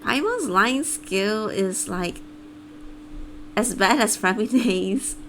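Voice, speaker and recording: A woman live-streaming a game with casual commentary, thinking out loud and reacting as she goes. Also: medium pitch, animated, extremely slow, a little hiss or hum. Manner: reacting aloud, musing.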